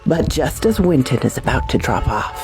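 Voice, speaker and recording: A woman's voice: rumbling low, animated. A woman playing a ghoulish, teasing horror radio hostess, narrating theatrically and savoring her words. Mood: ominous, teasing. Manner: slow, dramatic, savoring words. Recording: faint music behind.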